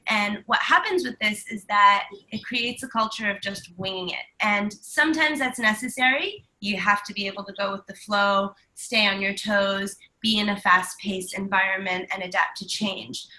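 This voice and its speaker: A woman's voice: medium pitch, moderate pace, few pauses, sharp tone, rough recording. A woman co-hosting a live stream from home, upbeat and chatty with lots of ums, sounding stuffy from a cold. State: stuffy from flu. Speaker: co-host.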